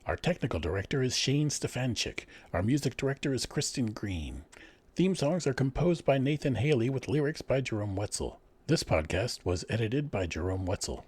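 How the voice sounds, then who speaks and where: A man's voice: mid-range voice, big pitch swings, moderate pace, a little mellow, husky, no echo. A man narrating and announcing a radio drama in a scripted, theatrical serial style.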